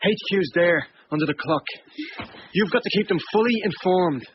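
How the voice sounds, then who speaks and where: A man acts a zealous young Irish volunteer fighter in a radio play. His voice is high for a man and declamatory, full of stubborn conviction. The sound is dulled, with clear noise. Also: normal speed, lightly acted. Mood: brisk, commanding. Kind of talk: radio drama acting. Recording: dull noisy radio drama recording.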